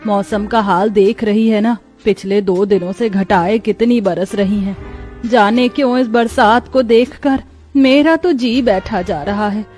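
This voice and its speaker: A woman's voice: mid-range voice, unhurried, a little mellow, faint music behind, close to an everyday voice. A woman voicing a worried, fretful older village mother-in-law in a melodramatic story. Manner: fretful, questioning.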